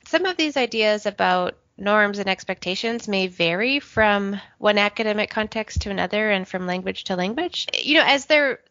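A woman with a bright, clear voice talks earnestly and reflectively, often reaching for "you know." The recording is dry and slightly muffled. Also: medium pitch, no room sound. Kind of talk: conversational discussion.

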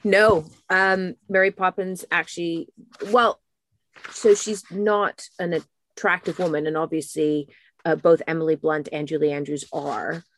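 An American woman in casual podcast chat who also reads a book aloud with animated storytelling. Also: low-pitched, measured pace.

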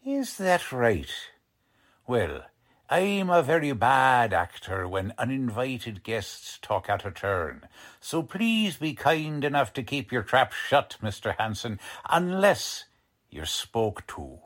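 Tough American cop, old radio drama, sarcastic, bullying, slow delivery, swinging pitch. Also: male voice, sing-song, a bit bright, exaggerated voice. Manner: blunt, slangy, bullying. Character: gruff, tough, sarcastic police lieutenant. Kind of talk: 1940s radio acting.

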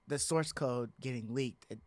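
A man's voice: medium pitch, normal speed, a little mellow, dry recording. A man with a mid-range voice talks casually as a podcast co-host. His phrasing is slangy and peppered with swearing, and the sound is dry and close, with little room to it. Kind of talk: casual gaming podcast chat.

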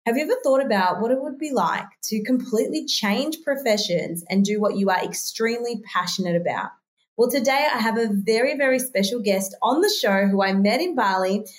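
A woman's voice: fairly high pitch, lively intonation, brisk. A woman hosting a mental health podcast, introducing and questioning her guest with enthusiasm. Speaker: podcast host. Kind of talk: interview hosting.

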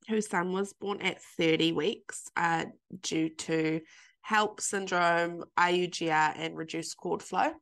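A New Zealand woman hosts a relaxed podcast chat, a little stuffy and nasal from a cold. She keeps the talk going with quick, friendly affirmations. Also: fairly low pitch. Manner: chatty, encouraging, quick affirmations. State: congested, slightly nasal from a cold. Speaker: podcast host. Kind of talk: casual podcast interview.

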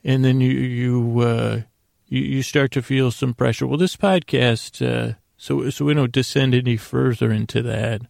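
Very gravelly, meandering male podcast host. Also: medium pitch, level tone, darkish tone, no echo, studio quality. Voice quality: very creaky, gravelly. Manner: meandering, halting, self-interrupting with tangents. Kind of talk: rambling bedtime sleep podcast monologue.

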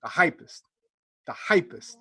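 A man's voice: measured pace, fairly bright tone. A man in his forties co-hosting a podcast, chatting casually with slangy emphasis and steering the conversation along. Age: 40s. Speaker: podcast co-host. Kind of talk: casual fan chat, reviewing and reacting.

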